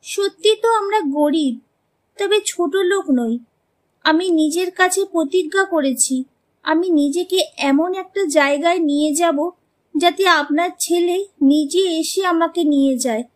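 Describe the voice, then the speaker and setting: Cartoon young bride, voiced by a woman: high-pitched, polite but proud, determined, earnest delivery. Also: measured pace. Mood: hurt, resolute. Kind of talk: animated story dialogue.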